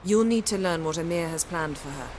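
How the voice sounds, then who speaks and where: An actress playing a shrewd, self-assured sorceress in a video game, articulate and drily sardonic. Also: female voice, fairly low pitch, a little fast, background noise, natural voice. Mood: dry, brisk. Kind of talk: video game cutscene acting.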